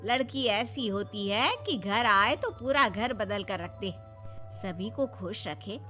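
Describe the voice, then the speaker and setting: A woman's voice: mid-range voice, lively intonation, slow, sharp tone, faint music behind. A woman playing a scornful middle-aged mother-in-law with a nagging, grumbling manner in a moral story.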